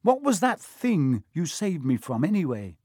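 A young storybook boy, as a man reads him, sounds bewildered and curious. His delivery is plain and questioning, a little raised in pitch. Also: wildly swooping pitch, measured pace, darkish tone, no room sound, clean studio sound, exaggerated voice. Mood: puzzled. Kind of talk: storybook character voice.

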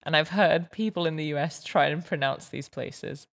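A woman's voice: deep voice, moderate pace. A woman chatting playfully with her fiancé, talking freely and saying "like" often. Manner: playful, chatty, many "like" fillers. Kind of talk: casual couple chat.

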